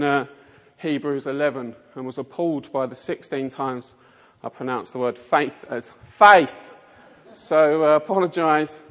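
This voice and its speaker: A man's voice: fairly high pitch, big-room sound. Chatty man with a Mockney accent. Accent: Mockney, south-east English. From Kent, England. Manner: chatty, rambling, self-mocking, many fillers. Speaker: lay preacher. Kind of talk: informal sermon with self-deprecating jokes. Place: church.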